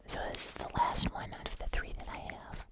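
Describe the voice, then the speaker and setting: An ASMR host speaking entirely in a soft, unvoiced whisper, chatting casually through a food review. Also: a little fast.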